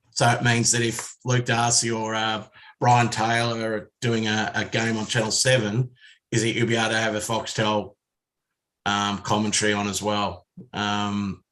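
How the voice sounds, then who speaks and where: A man with an Australian accent, giving blunt opinions in casual sports talk on the radio, with many pauses and ums. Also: medium pitch, a bit bright, rough recording. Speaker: radio panelist. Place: radio studio.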